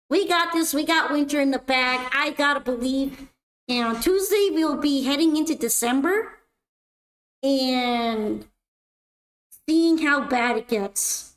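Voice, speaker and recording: A young woman streamer chatting casually while gaming, her voice a little raspy and worn out. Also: high voice, a bit bright, echoey room, low-quality recording. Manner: chatty, rambling, upbeat sign-off. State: slightly raspy, tired voice. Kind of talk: casual gameplay stream chat.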